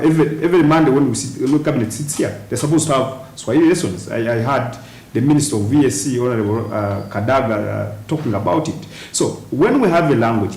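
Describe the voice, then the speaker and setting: A man speaks as a guest on a studio panel, working through his points deliberately and stopping often to restart. His medium-pitched voice carries some room echo over faint hiss. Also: darkish tone. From western Uganda, near Congo and Rwanda borders. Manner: deliberate, frequent "uh" pauses and restarts.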